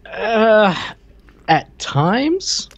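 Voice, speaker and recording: An American man in his thirties or forties chatting casually as a podcast co-host, halting and dryly joking. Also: sits high, sing-song. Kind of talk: casual pop-culture chat. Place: room at home, on a video call. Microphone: desk microphone up close.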